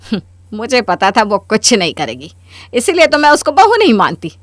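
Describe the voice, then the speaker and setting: A woman voicing a spiteful, scheming middle-aged mother-in-law in a cartoon story, with a sharp, taunting, bossy delivery. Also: fairly high pitch, wildly swooping pitch, moderate pace, dry recording, clean studio sound. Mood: spiteful, stubborn. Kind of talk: Hindi cartoon story dialogue.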